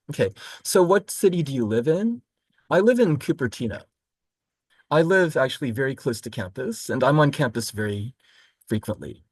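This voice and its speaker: A man from Florida who teaches college literature, walking students through a course overview in a relaxed, explanatory way with frequent ums and self-corrections. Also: mid-range voice, breathy edge, dry recording. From Florida, United States. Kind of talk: recorded course overview lecture.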